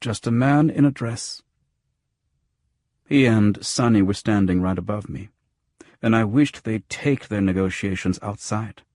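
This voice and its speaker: A man's voice: lowish voice, a little mellow, no room sound, studio quality. A man narrating scripted first-person noir fiction as an audiobook.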